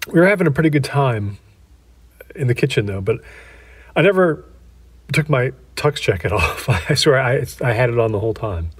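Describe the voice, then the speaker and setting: An actor playing a middle-aged American man who recalls his youth candidly, in a halting, conversational, self-deprecating way, as if interviewed for an audio drama. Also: medium pitch, expressive, husky, no room sound. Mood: reflective, candid. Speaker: interview subject. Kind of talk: naturalistic audio drama acting as interview reminiscence.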